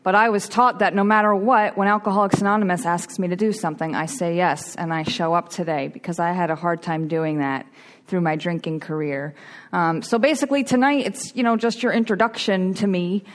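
A woman speaking candidly at a recovery meeting into a microphone, rambling a little and using fillers. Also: lowish voice. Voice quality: loud voice. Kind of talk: personal share at a meeting. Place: meeting room. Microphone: microphone at a lectern.

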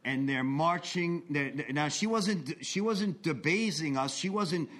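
A man in his forties giving an earnest, confessional talk about his recovery, swinging between intense bursts and hushed asides. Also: fairly high pitch, animated, measured pace. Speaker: recovery speaker. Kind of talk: personal testimony talk.

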